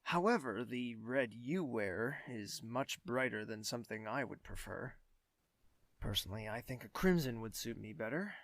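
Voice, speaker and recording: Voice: mid-range voice, animated, normal speed, fairly bright tone, no echo. An actor playing a young prince in an audio drama, refined, distracted and hesitant, musing aloud. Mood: earnest, musing. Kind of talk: scripted audio drama acting.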